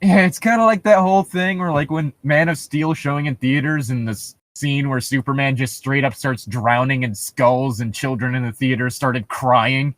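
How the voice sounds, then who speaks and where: A man's voice: mid-range voice, steady tone, normal speed, hardly any pauses, fairly bright tone, no echo. A man co-hosting casual, joking commentary on stories he reads aloud, in an irreverent, sweary way. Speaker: co-host.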